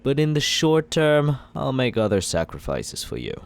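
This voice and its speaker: A man in an ASMR roleplay as a villain, talking smoothly and persuasively in a teasing, flirtatious way. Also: mid-range voice, huge pitch swings, normal speed, slight background noise.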